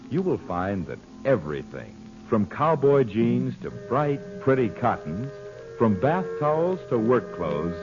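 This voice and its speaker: A man announcing and reading advertising on vintage radio in a polished, emphatic manner. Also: on the low side. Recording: muffled old radio broadcast.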